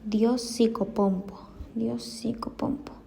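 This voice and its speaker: A woman's voice: fairly high pitch, fairly even intonation, slow, gravelly, dark tone. A woman dictating study notes aloud, often in a whisper, repeating items and spelling words out as she goes.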